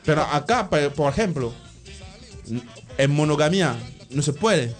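Man, interview guest, halting speech, faint music underneath. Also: fairly high pitch, animated. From Cameroon. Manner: keeps asking "¿me entendés?", halting restarts. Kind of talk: casual radio interview.

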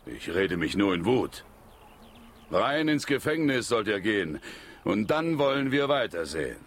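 A man's voice: fairly low pitch, very animated, measured pace, very noisy. A man playing an angry, distrustful king in a children's radio play, commanding and indignant. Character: angry, imperious African king, distrustful of strangers.

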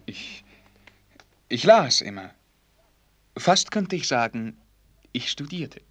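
An actor playing an earnest, sheltered young prince in a German radio drama, courtly and eager, with hesitant phrasing. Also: male voice, medium pitch, sing-song, slow pace. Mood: shyly proud.

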